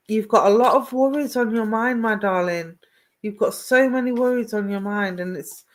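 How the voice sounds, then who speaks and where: A woman working as a psychic medium, giving live online readings, greeting viewers by name and calling them "my darling". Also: mid-range voice. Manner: affectionate, calls viewers "my darling"; greets and addresses viewers by name, asks if things make sense.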